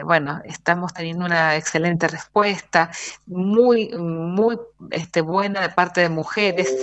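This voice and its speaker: Low-voiced woman, dry sound. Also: a bit bright, dry recording, dull audio. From Argentina. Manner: thoughtful, pauses with fillers. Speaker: political candidate guest. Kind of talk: radio interview.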